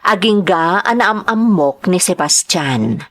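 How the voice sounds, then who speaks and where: A woman's voice: lowish voice, lively intonation, slow pace, a bit bright, full character voice. A woman with a Filipino accent playing an earnest young nightclub entertainer, telling her story expressively in a radio-style drama. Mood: earnest confiding.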